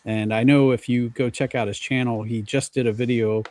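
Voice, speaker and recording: A man chatting on a podcast panel, explaining things in an earnest, halting way. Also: medium pitch, steady tone, darkish tone, a little hiss or hum. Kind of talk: casual podcast chat.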